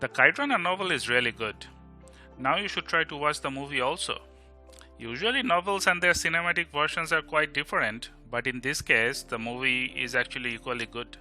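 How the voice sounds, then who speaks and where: A man's voice: medium pitch, sharp tone. Young Indian male student played by an actor, Indian accent, witty, bookish, audio drama dialogue, music underneath.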